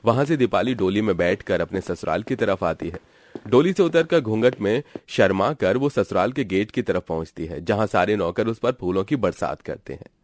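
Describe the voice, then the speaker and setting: A man narrating a scripted animated family story. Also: fairly low pitch, moderate pace, no room sound.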